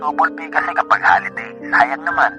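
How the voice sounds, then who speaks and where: An actor playing a steady, reassuring, responsible boyfriend working abroad, in a Filipino radio drama with a Filipino accent. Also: male voice, fairly high pitch, fairly quick, muffled recording, lightly acted. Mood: caring, reassuring. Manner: reassuring, considerate. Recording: studio drama over clear background music.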